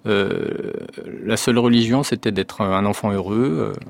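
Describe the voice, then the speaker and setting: A low-pitched man from France talks as a guest on a radio interview. He answers in a hesitant way, pausing on fillers and restarting his sentences as he looks for words. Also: vocal fry, dry recording. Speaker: novelist guest.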